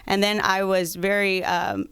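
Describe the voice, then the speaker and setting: A woman's voice: mid-range voice, fairly even intonation, measured pace, fairly bright tone, clear, resonant tone, no echo, clean studio sound. A woman in her thirties from south Texas, answering interview questions as a lawyer and candidate. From south Texas, United States. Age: 30s.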